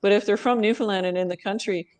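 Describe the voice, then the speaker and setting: A woman's voice: mid-range voice, steady tone, fast talker, no room sound. Lady chatting on a podcast, laughs a lot, restarts her sentences, very dry sound. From Canada. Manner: warm, thoughtful, laughs often, frequent restarts. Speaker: podcast guest. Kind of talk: casual interview chat.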